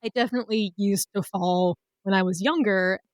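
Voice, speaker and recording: A woman with a middling pitch talks casually as a podcast co-host. She rambles informally and hedges as she goes, in a dry, close recording. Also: normal speed, no echo. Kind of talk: casual chat.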